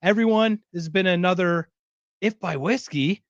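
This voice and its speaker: A man hosting a podcast, talking in a loose, rambling way with frequent restarts. His voice sits a little high for a man. The recording sounds dry and slightly dull. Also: normal speed, dry recording. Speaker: podcast host. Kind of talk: casual chat.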